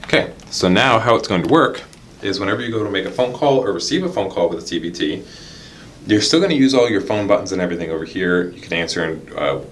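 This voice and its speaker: A man's voice: low voice, expressive, fast talker, a little hiss or hum. A man giving a casual instructional product tutorial, explaining things step by step. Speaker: product demonstrator.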